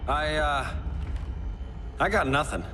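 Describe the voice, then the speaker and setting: An actor playing a wisecracking, emotional hero in a video game, informal and wry. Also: male voice, on the high side, slow, background noise. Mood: wry, baffled. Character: wisecracking male hero, quick-witted and emotional.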